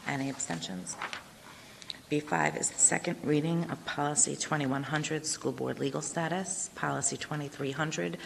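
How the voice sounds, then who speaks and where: Low gravelly woman chairing a meeting. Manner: brisk procedural reading of agenda items. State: losing her voice, coughing. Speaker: school board president chairing a meeting. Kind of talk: formal meeting procedure. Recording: reverberant hall with faint noise.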